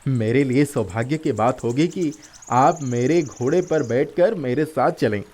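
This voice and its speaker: A gallant young prince acted by a man with a mid-range voice, from an animated storybook. He is courteous, protective and earnest, and speaks in formal, chivalrous phrases. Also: medium pitch, measured pace, natural voice.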